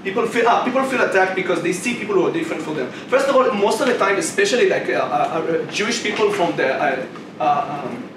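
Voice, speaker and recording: Halting young Israeli man debating, far off. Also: sits high, expressive. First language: Hebrew. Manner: argumentative, halting with many restarts. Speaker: competitive debater. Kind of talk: debate speech. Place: debate room. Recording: roomy echo, far from mic, noisy.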